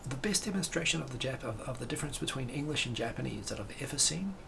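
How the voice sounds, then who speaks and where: Gravelly New man on a livestream. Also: mid-range voice, quick pace. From New Zealand. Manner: rambling, animated hand gestures. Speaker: livestream host. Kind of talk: casual chat. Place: home room, indoors. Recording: noisy home livestream.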